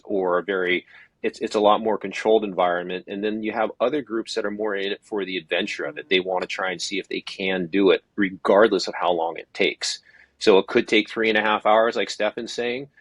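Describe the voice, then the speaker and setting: Husky low-voiced man, dry close sound. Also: a bit bright, dry recording. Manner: thoughtful, rambling with frequent restarts. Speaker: podcast guest, open water swim organizer. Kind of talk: casual interview chat.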